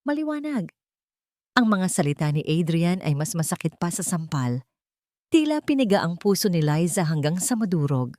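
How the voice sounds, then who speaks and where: A woman's voice: fairly low pitch, expressive, moderate pace, a little mellow, no room sound. A woman narrating a scripted romantic drama with emotive, melodramatic storytelling. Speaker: narrator of audio love stories.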